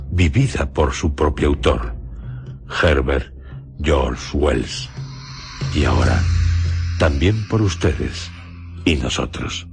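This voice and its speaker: A man with an extremely deep voice hosts and narrates a radio drama. He takes his time, placing short literary phrases with deliberate, suspenseful pauses. Faint music plays behind him. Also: vocal fry.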